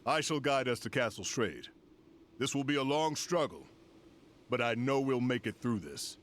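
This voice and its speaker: An actor playing a grave older general in a video game cutscene, speaking formally and with solemn, measured weight. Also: male voice, mid-range voice, animated, a bit bright, slight background noise, close to an everyday voice. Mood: solemn, resolute.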